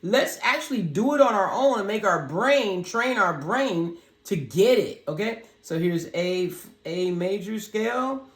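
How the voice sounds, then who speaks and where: Raspy, deep-voiced home piano tutor, unhurried and casual, with piano in a roomy room. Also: animated, a bit bright. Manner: slow, talks through each step, sings scale notes on "ho". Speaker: piano learner teaching beginners. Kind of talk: casual step-by-step music lesson. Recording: roomy echo with piano playing.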